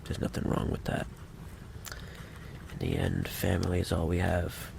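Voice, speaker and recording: Male actor as mafia boss, extremely deep voice, heavy vocal fry, softly threatening, theatrical ASMR roleplay, noisy recording. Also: completely flat delivery. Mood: cold storytelling. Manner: deliberate, theatrical, coolly condescending.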